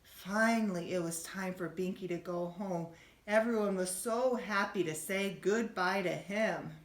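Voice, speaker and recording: A woman reading a children's storybook aloud like a teacher, expressively, with gentle questions to her young listeners. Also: mid-range voice, measured pace. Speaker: schoolteacher reading a bedtime story. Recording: roomy, echoey room sound.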